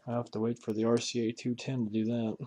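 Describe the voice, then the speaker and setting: A man's voice: medium pitch, level tone, fast, mellow. A man giving a casual, unscripted hobbyist walkthrough in a folksy way, musing aloud as he handles parts. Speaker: hobbyist radio restorer presenting a repair video.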